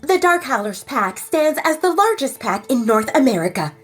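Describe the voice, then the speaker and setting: An eager, scheming pack leader's wife speaks in a high, breathy, lively voice, as a woman acts her out in an audio story. Soft music plays underneath. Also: normal speed, full character voice. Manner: bright and excited.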